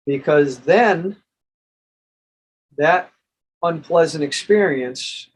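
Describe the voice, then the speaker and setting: A man with an American accent and a husky voice, presenting in an informal monologue and reading from a book. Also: medium pitch, rough recording. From United States. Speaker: presenter and reader.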